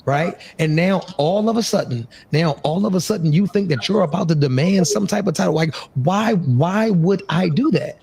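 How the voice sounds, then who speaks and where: A man's voice: sits high, animated, normal speed, vocal fry, hardly any pauses, a little mellow. A man in his forties giving blunt dating advice in a lecturing way. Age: 40s. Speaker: guest in a played clip. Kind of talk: advice talk.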